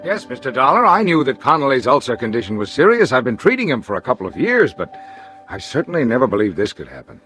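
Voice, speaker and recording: An actor playing a troubled, defensive doctor in classic radio drama, speaking in an emphatic, halting way. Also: male voice, mid-range voice, sing-song, a bit bright, soft background music.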